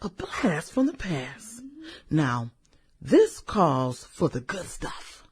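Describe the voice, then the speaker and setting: A woman playing a brash, flirty, fun-loving businesswoman in a satirical radio drama, with sassy, slangy delivery and drawn-out interjections. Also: low voice, big pitch swings, a little mellow, breathy edge, full character voice. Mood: delighted, flirty. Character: brash, flirty, fun-loving high-powered businesswoman in her late thirties.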